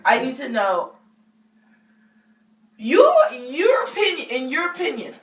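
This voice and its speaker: A woman's voice: sits high, very animated, a little slow, a bit bright. Teasing woman host, echoey room. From Barbados. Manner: teasing, laughs often, swears casually. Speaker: interviewer, host. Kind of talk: casual interview chat. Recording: echoey room, mic at a distance, audible noise.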